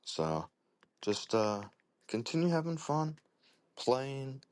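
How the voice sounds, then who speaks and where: A man leaving a casual voicemail as a listener, talking haltingly in short clipped phrases. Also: medium pitch, sing-song, clean tone, no room sound.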